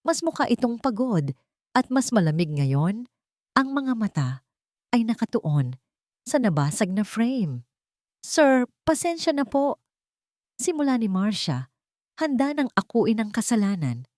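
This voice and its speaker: A woman's voice: mid-range voice, big pitch swings, measured pace, dark tone, no room sound, clean studio sound. A woman narrating a scripted story in a dramatic style.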